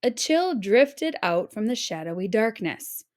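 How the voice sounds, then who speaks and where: A woman narrating a children's story aloud in a dramatic, expressive read-aloud style. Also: mid-range voice, unhurried. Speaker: storybook reader.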